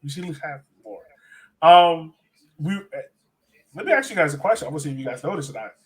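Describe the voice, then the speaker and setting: A man's voice: on the high side, sing-song, a little fast, poor audio. A man hosting a casual sports fan podcast, talking in a conversational, self-questioning way. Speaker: podcast host.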